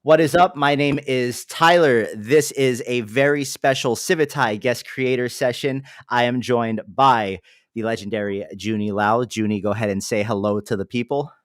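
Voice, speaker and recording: A man's voice: medium pitch, fairly even intonation, moderate pace, no echo, studio quality. A young man hosting a casual livestream, upbeat and chatty, with lots of fillers. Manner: upbeat, greets chat, filler-heavy. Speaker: livestream host.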